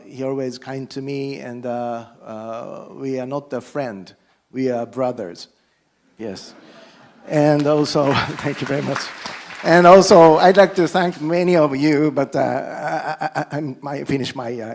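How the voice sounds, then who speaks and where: Gravelly Japanese-accented middle-aged man. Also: medium pitch, a little mellow. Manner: halting, with many restarts and self-deprecating jokes. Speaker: politician giving a guest speech. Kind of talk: formal speech in halting second-language English.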